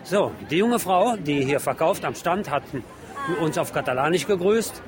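A busy outdoor crowd surrounds this man's fairly high voice. He reports for radio on the spot, describing what is in front of him without a script and reading titles aloud as he goes. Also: expressive, normal speed, over music. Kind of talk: live spoken field report describing book stalls. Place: outdoors on a busy city street among book stalls. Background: street crowd.

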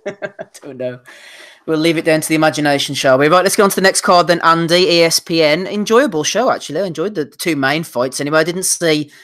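A man's voice: fairly high pitch, fast talker, a bit bright, no echo, studio quality. A man hosting a casual podcast, steering the talk between topics with teasing asides. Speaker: podcast host. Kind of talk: casual podcast chat about boxing.

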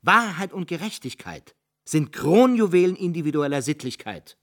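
An actor playing an eloquent Italian humanist idealist, courteous yet theatrically rhetorical, in a radio drama. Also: male voice, on the high side, sing-song, normal speed. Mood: indignant, impassioned. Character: high-minded humanist Italian gentleman, eloquent idealist debater. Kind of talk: German radio drama acting.

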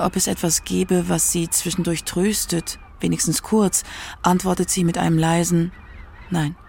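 A narrator reading a scripted radio documentary in a measured, prepared way. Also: mid-range voice, vocal fry, darkish tone, breathy edge.

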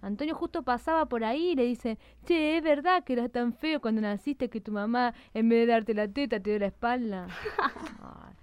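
A woman's voice: fairly high pitch, moderate pace, clean tone, no echo, lightly acted. An Argentine woman playing a kind, earnest young daughter in a live amateur radio drama. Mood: indignant, pleading. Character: kind young daughter defending a shy gardener.

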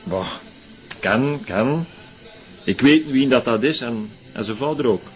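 An actor playing an evasive, gossipy Flemish man who talks in a halting, offhand, colloquial way in a radio drama. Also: mid-range voice, lively intonation. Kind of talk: naturalistic radio drama acting. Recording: dull radio drama with faint music.

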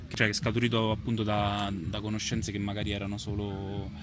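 A man gives spontaneous, explanatory interview answers, pausing and hesitating as he goes. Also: fairly low pitch, completely flat delivery. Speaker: photographer and exhibition curator being interviewed. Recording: clear ambient noise behind the voice.

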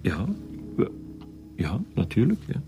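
A man's voice: low voice, wildly swooping pitch, slow, dark tone, faint music behind, exaggerated voice. An actor playing an evasive, shaken Flemish school principal in a radio drama, hesitant and stammering as he weighs his words. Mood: nervous, defensive. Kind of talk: naturalistic radio drama acting.

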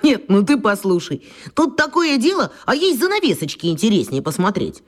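A woman's voice: mid-range voice, moderate pace. A woman voicing a skeptical, wry young schoolboy in a children's radio play, teasing and doubtful. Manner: skeptical, teasing, lively intonation.

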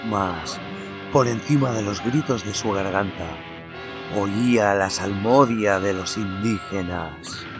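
A man reading a horror story aloud in a slow, theatrical way, then presenting notes on film music. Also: fairly low pitch. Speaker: narrator and podcast host. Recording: narration over loud music.

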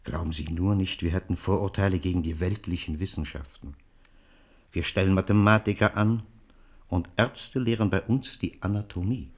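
Low-voiced, suave, calculating Jesuit. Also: male voice, steady tone. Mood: persuasive. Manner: measured, insinuating, formal. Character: suave, calculating Jesuit envoy, coolly cynical. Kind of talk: German radio play acting.

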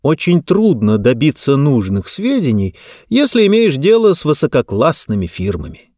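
A man narrates a novel in the first person with an expressive delivery. His mid-pitched voice swings animatedly through each sentence, and the recording is close and dry, with very little room sound. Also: normal speed, dry recording. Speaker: audiobook narrator.

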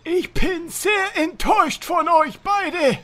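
A man's voice: extremely high pitch, slow, a bit bright, heavily acted. A man voicing a bossy, fussy uncle who leads a guild, grumbling and reproachful in a cartoonish parody radio play.

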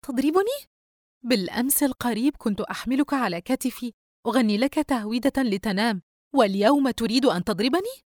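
An indignant young sister, played by a female narrator in an audiobook story. Her voice rides high, hurt and incredulous, pleading her case with exasperation. The sound is close and dry. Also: animated, fast, darkish tone, no echo, close to an everyday voice. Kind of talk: dramatised audiobook dialogue.

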